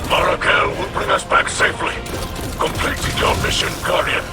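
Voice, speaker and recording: A man voicing a stern, honourable alien warrior captain in a video game, grave and formal with a breathy, rasping growl. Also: sits high, level tone, moderate pace, loud music behind the voice, close to an everyday voice. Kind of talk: video game cutscene acting.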